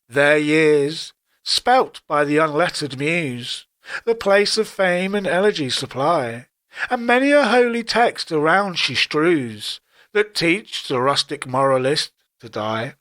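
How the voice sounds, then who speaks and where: A man reciting classic poetry in a solemn, formal style, with measured and deliberate phrasing. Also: a little high, a bit bright. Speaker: poetry reader.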